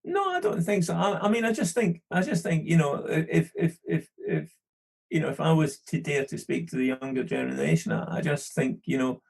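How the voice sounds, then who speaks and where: A man's voice: on the high side, lively intonation, a little fast, creaky, husky. A middle-aged man with a Scottish accent, a film studio manager chatting casually as a podcast guest, rambling with lots of "you know" and restarts. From London.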